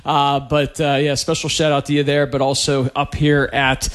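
A man's voice: mid-range voice, little pitch movement, normal speed. A man hosting a live sports radio interview in a chatty, effusive, casual way.

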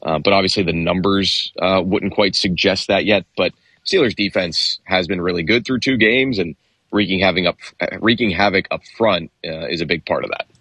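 A man's voice: deep voice, moderate pace, sharp tone, husky, no room sound. A man co-hosting a sports radio show, talking casually and hesitantly with many fillers and restarts.

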